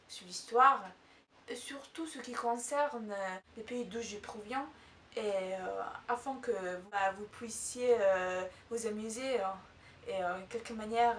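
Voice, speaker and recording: A woman with an Italian accent teaches her native language in a relaxed, halting way, full of pauses and filler sounds. Her voice sits a bit high. She is recorded from some distance in a reverberant room, with faint background hum. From Italy. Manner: hesitant, many filler sounds and restarts. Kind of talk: casual teaching vlog. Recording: echoey room, mic at a distance.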